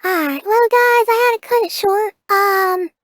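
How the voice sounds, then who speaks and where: High-pitched teenage gamer. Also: unhurried, fairly bright tone, dry recording, studio quality. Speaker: gameplay video host. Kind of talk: casual gameplay commentary.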